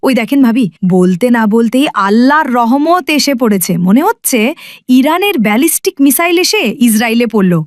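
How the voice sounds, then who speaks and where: Actress as an animated, high-voiced refugee woman. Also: brisk, dry recording, studio quality. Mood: fervent. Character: excitable, vengeful devout refugee woman. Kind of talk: cartoon story dialogue.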